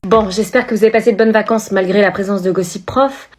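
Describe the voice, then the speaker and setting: A woman performing a comedy sketch, teasing and playful in quick back-and-forth dialogue. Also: mid-range voice, music in the background.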